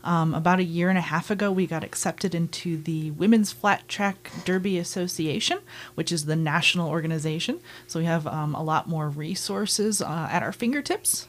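A woman with a slightly low voice speaking as an interview guest. She talks in an upbeat, fluent way with frequent fillers. Her tone stays casual. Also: moderate pace. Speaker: roller derby team member, guest.

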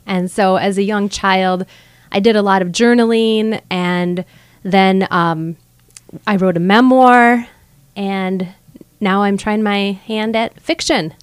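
A woman's voice: medium pitch, fairly even intonation, takes it slowly, clean tone, dry recording. Earnest woman in her thirties, dry studio. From Upper Peninsula of Michigan. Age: 30s. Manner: earnest, friendly, light humor. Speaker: guest author. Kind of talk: radio interview. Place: radio studio. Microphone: studio microphone.

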